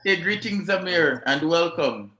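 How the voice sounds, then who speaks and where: Male conference host, slightly high voice, friendly and welcoming, roomy video-call audio. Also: lively intonation, unhurried, sharp tone. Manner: friendly, welcoming, runs through logistics. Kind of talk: hosting an online youth conference. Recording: roomy echo.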